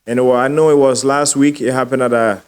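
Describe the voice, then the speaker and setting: A man's voice: mid-range voice, fairly even intonation, fast, clean tone. A man hosts a casual podcast interview with enthusiasm. He often repeats his phrases for emphasis. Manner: warm, enthusiastic, repeats himself. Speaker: podcast host.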